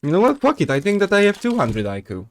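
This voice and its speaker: A man's voice: high voice, wildly swooping pitch, quick pace. A young man hosting a reaction video, sarcastic and rambling, his voice jumping up when exasperated. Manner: rambling with many fillers and restarts. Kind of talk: mocking reaction commentary.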